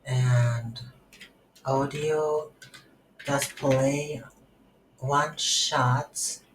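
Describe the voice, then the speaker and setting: Very slow, low-voiced woman teaching. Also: fairly even intonation. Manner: halting, thinking aloud in short phrases. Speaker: tutorial instructor. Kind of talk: unscripted screen-recorded coding tutorial. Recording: roomy echo, audible background noise.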